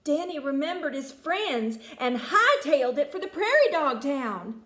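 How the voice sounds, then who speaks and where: Female storybook reader: theatrical, very animated intonation, unhurried pace, roomy recording. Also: high voice. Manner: expressive, theatrical. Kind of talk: dramatic children's storybook narration.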